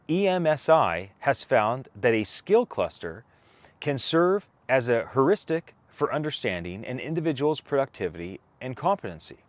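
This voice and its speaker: A man narrates a written paper aloud in a close, dry recording. His pitch moves in a lively way, and he sets out his phrases deliberately, giving weight to individual items. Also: dry recording. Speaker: co-author reading a whitepaper aloud. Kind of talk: read-aloud document narration.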